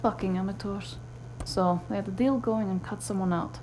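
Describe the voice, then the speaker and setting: Actress as hard-nosed cyberpunk woman, low-brightness mellow tone, blunt, sardonic, audio drama dialogue, slight hum. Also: fairly even intonation, moderate pace, close to an everyday voice, poor audio. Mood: dry, scornful. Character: tough, foul-mouthed, sardonic female cyberpunk partner in crime.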